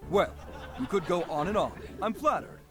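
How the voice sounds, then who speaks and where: Pompous, very high-pitched puppet king. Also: sing-song, moderate pace, music in the background. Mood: smug. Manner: pompous, theatrical. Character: pompous, boastful old-time king puppet. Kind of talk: storybook puppet-show acting in a video game.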